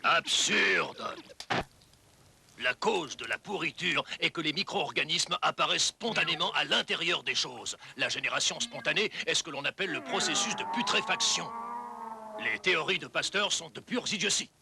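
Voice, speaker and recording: A stern, sceptical male scientist from an educational cartoon. His voice is very bright and forceful, somewhat high, and he talks in an emphatic, dismissive way. There is faint noise behind him. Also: animated, moderate pace, vocal fry, full character voice. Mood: scornful. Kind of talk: dubbed educational cartoon acting.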